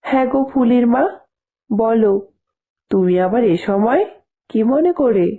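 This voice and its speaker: A woman's voice: fairly high pitch, animated, a little slow, warm tone, breathy edge. A woman voicing a grudging, scornful female neighbour in a cartoon, curt and reproachful. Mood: wary. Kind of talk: cartoon dialogue.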